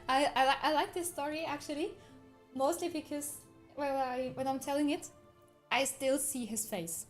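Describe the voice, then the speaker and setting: A woman's voice: high voice, unhurried, pauses often, soft background music. A woman, chatting casually on a livestream, reading viewer comments aloud and telling rambling stories with frequent laughs. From Switzerland. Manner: chatty, rambling storyteller who laughs often.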